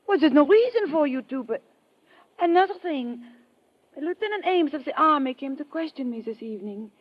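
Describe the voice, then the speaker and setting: An actress playing an affectionate, coaxing femme fatale, intimate and theatrical, in an old-time radio drama. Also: female voice, sits high, expressive, moderate pace. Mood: anxious, defensive. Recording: dull vintage radio recording.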